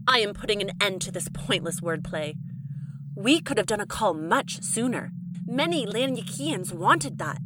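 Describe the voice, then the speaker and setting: A woman's voice: mid-range voice, expressive, moderate pace, background noise, natural voice. A woman playing an imperious, cold alien official. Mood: impatient, commanding. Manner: formal, dismissive, commanding. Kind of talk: audio drama acting.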